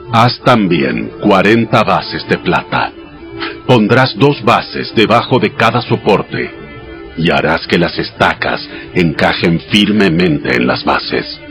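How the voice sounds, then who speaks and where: A man playing God in a dramatized audio Bible, giving exact instructions in a solemn, measured, commanding manner. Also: deep voice, a bit bright, full character voice. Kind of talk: dramatized scripture reading. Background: music behind the voice.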